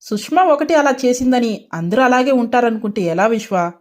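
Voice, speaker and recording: A woman's voice: fairly high pitch, animated, moderate pace, a little mellow, close to an everyday voice. A woman voicing a loving, worried and insistent mother of a grown son in a dramatised story, pleading earnestly.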